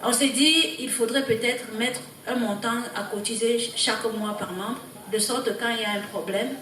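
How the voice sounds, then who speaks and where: A woman presenting a group report to a party meeting, halting and repeating words as she goes. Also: fairly high pitch, bright, forceful tone. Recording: echoey hall, distant mic, background noise.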